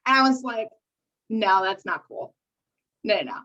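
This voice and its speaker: A woman's voice: medium pitch, expressive, normal speed, pushed and bright. A young American woman co-hosting a casual podcast chat, on slightly dull lo-fi audio. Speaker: podcast co-host. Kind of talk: casual chat, discussing reality TV.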